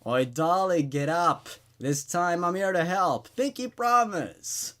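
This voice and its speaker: A man's voice: sits high, expressive, slow pace, bright, forceful tone, pitched above the performer's own voice, heavily acted. Man voicing a slangy, cajoling sinister mannequin.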